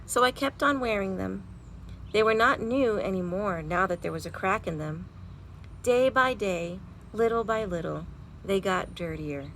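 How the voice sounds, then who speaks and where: A woman reading a children's storybook aloud in an expressive, animated way with dramatic pauses. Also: medium pitch. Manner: animated, dramatic pauses, chanting sound words. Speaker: librarian reading picture books at storytime. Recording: noisy home recording.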